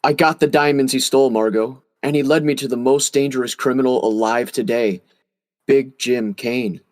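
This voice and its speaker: An actor playing a calm, menacing vigilante with a suave, formal manner, in old-time radio drama style. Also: male voice, medium pitch, moderate pace, natural voice. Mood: brisk, confident. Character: calm, menacing male crime-fighting vigilante, outwardly suave gentleman.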